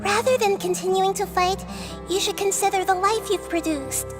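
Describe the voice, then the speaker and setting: A woman voicing a small, childlike, wise and gentle nature goddess in a video game, earnest and formal in manner. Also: extremely high pitch, steady tone, normal speed, over music. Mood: firm, pleading.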